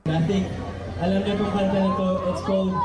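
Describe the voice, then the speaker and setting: A man's voice: high-pitched, level tone, a little fast. A Filipino man performer introducing a song to a concert audience from the stage. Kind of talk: stage patter. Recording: echoey distant stage PA, loud music, crowd.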